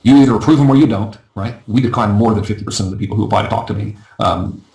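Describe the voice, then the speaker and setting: A man coaching a live webinar in a chatty, self-deprecating way, his voice going a little hoarse. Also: lowish voice, a little mellow, reverberant, low-quality recording. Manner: fast, chatty, self-deprecating, often asks "right?". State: losing his voice. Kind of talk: casual live webinar teaching.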